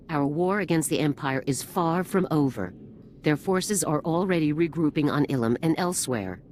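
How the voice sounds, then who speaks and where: Casting: female military general, space opera game. Low voice, animated inflection, stern and formal. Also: a little hiss or hum. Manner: formal, grave military delivery. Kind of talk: video game cutscene voice acting.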